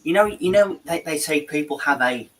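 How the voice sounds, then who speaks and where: A man's voice: a little high, animated, moderate pace, a bit bright, poor audio. A man hosting a show, chatting in a rambling, repetitive way about a market visit. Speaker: show host. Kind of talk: casual studio chat.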